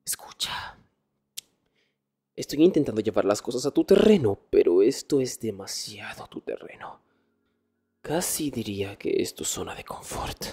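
A man playing an arrogant nerdy young student in an intimate ASMR roleplay, hushed and often dropping into whispers. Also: on the low side, expressive, measured pace, breathy edge, heavily acted. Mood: exasperated. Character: arrogant nerdy young male student, exasperated, teasingly dominant. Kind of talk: Spanish ASMR boyfriend roleplay, intimate one-sided dialogue.

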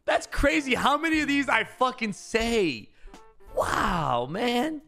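A young man reacting casually on a live stream, excitable and slangy, swearing freely, close to the mic. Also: extremely high pitch, slow, vocal fry, soft background music. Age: young adult. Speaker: streamer reacting to football clips. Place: small indoor streaming room. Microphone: close microphone on boom arm, with headset.

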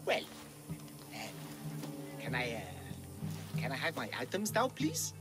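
A man voicing a hapless, cowardly villager who poses as a monster slayer, theatrical, bumbling and excitable, in a video game. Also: medium pitch, takes it slowly, over music. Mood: grateful, nervous. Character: hapless, melodramatic, cowardly male villager posing as Minotaur slayer. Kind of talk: video game character acting.

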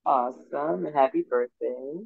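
A woman's voice: a bass voice, unhurried, creaky, breathy edge, narrow, tinny audio. A woman moderating a singles show, calling on guests and posing questions to the group. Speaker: host of a singles dating show. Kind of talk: upbeat group video-call moderating, reading questions.

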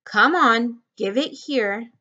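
A woman's voice: fairly high pitch, slow, pushed and bright, highs cut off. A woman voicing an irritable, bossy little drifter man from a novel, with rustic, scolding speech. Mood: sharp, scolding. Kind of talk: audiobook-style character reading of a novel.

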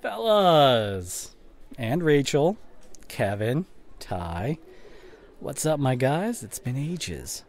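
Young male boyfriend played by a male actor for audio roleplay: forgetful, dismissive gamer, slangy and coaxing, unhurried delivery with lively pitch swings. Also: full character voice. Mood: excited, joking. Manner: casual, slangy, coaxing, drawn-out phrasing.

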